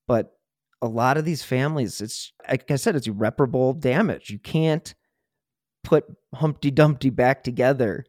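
A man's voice: medium pitch, normal speed, darkish tone, dry recording, studio quality. A man hosting a true crime podcast, talking casually in an earnest, opinionated and colloquial way. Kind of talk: casual conversational interview talk.

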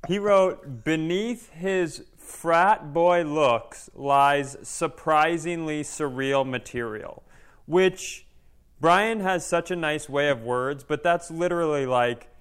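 Clear-voiced man telling stories on a podcast. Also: a little high, takes it slowly. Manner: joking, self-mocking. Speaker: podcast co-host, stand-up comedian. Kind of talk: casual conversational storytelling.

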